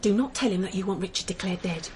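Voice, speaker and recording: An actress playing a prickly, confrontational grown-up daughter in a naturalistic radio drama, sarcastic and challenging. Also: female voice, mid-range voice, fast talker, a little mellow, slight background noise, natural voice.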